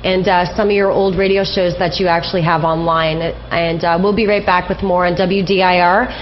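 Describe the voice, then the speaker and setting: A woman's voice: lowish voice, little pitch movement, brisk. A woman hosting a radio interview in a casual, conversational style. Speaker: radio show host. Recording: dull lo-fi sound with background hiss.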